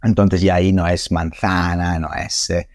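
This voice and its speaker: A man from Italy speaking with an Italian accent, a guest in a casual podcast interview, halting with many fillers and restarts. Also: low-pitched, flat delivery, darkish tone, no echo, clean studio sound. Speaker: guest scientist.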